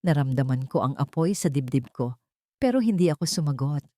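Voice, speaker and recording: Woman telling a sad drama story, measured and dramatic, bit low, super dry recording. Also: expressive, mellow, dry recording. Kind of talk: scripted first-person narration, sentimental melodrama.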